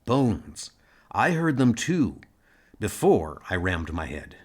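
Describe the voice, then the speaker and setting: A man playing a thoughtful, self-assured starship captain in an amateur comic audio drama, speaking in a questioning, deliberate way. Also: fairly low pitch, sing-song, a little mellow, full character voice. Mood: pensive, doubtful.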